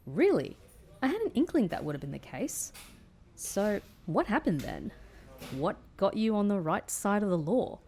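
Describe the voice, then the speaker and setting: A woman's voice: medium pitch, big pitch swings, moderate pace, a little mellow, a little hiss or hum. An actress playing a tough, no-nonsense female agent, firm and dry, in an audio drama. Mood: probing. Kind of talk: audio drama dialogue and narration.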